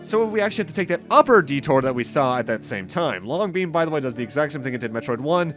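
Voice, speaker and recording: A man's voice: fairly high pitch, animated, fast talker, fairly bright tone. A man giving let's play commentary as host, rambling through explanations with dry asides. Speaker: gameplay host. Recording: game music under the voice. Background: video game music.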